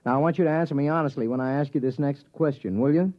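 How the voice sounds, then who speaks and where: A man's voice: fairly high pitch, fast talker, lightly acted. A man acting an anxious widowed father in 1950s radio drama, earnest, hesitant and prone to stammering. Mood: earnest, uneasy. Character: anxious widowed father of a gifted little girl. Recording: muffled, roomy vintage radio recording.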